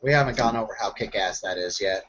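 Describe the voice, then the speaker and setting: A man's voice: fairly low pitch, quick pace, fairly bright tone, a little hiss or hum. A man playing a tabletop roleplaying game, talking casually in character and describing what his character does. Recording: dull lo-fi audio.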